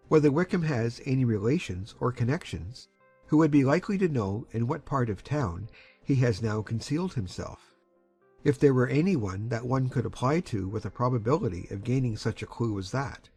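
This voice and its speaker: An earnest gentleman played by a male actor speaks with a husky edge in a period audiobook drama. His delivery is formal and measured, as if setting out a serious letter, with music playing underneath. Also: mid-range voice, no echo.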